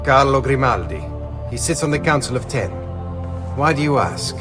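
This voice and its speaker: A man's voice: mid-range voice, expressive, normal speed, natural voice. A man playing a bold, grateful rebel leader in a video game, direct and friendly. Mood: friendly, curious. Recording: music in background.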